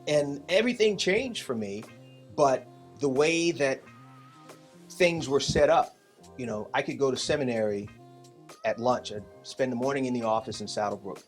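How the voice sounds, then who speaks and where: A middle-aged American man speaking as an interview guest, telling personal stories in a reflective, halting, conversational way and acting out bits of dialogue. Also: medium pitch.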